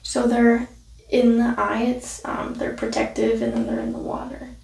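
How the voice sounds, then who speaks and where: Woman playing a nervous, soft-spoken young traveler. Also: on the high side, flat delivery, normal speed, vocal fry. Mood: nervous, polite. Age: young adult. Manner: hesitant, earnest, polite. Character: polite, nervous young female traveler with odd cargo. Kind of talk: soft-spoken ASMR roleplay. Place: small indoor room set. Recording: roomy, distant, noisy with clicks.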